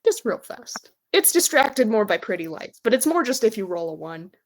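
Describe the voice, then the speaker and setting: A woman's voice: medium pitch, big pitch swings, moderate pace. A woman running a tabletop roleplaying game, improvising narration in a conversational, slightly hesitant manner, feeling her way through the scene. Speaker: game master.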